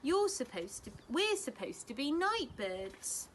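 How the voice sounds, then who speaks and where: A woman's voice: high voice, very animated, takes it slowly, heavily acted. A woman reading a storybook, voicing a small young male barn owl in a childlike, plaintive way. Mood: earnest.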